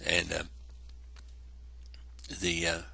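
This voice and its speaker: Man, congested, very low husky voice with a bright edge, rambling casual wargame recap. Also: monotone, extremely slow. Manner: rambling, self-correcting, lots of fillers. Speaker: tabletop wargame player narrating a battle report. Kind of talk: casual battle report narration over photos.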